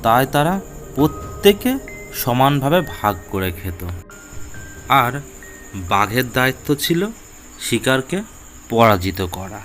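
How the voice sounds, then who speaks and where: A man with a mid-pitched voice tells a children's animal fable. He reads as a storyteller in short, measured phrases, with faint music underneath. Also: lively intonation, a bit bright. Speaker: storyteller, narrator. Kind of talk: scripted children's fable narration.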